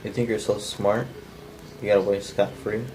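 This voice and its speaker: A man's voice: on the low side, little pitch movement, normal speed, noisy background, natural voice. A man reading the part of a surly, sceptical killer with curt colloquial lines in an amateur table read. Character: gruff, sceptical, uneducated male killer. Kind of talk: cold table read of a script.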